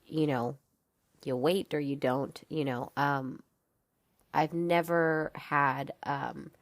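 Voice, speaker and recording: A woman's voice: low-pitched, fairly even intonation, slow, no room sound. A young woman hosting a solo podcast, talking in a frank, chatty way with lots of fillers and some swearing, and sounding congested. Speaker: podcast host. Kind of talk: casual solo podcast talk.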